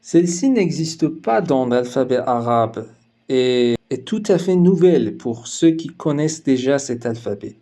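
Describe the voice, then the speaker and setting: Slow, mellow-voiced male teacher. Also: mid-range voice, animated. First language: Persian. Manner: slow, careful, explanatory delivery with hesitation fillers. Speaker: language teacher. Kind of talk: language lesson narration.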